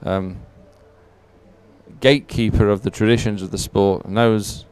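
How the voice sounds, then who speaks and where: A man's voice: lowish voice, fairly even intonation, a little slow, darkish tone, clean tone. A man giving live, unscripted sports commentary in a chatty, hesitant, explanatory way.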